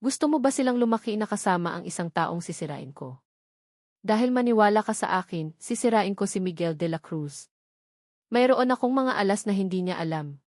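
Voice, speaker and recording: A woman's voice: medium pitch, animated, normal speed, darkish tone, dry recording, clean studio sound, lightly acted. A woman reading a cold, smug male villain. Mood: menacing. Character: cold arrogant man making threats.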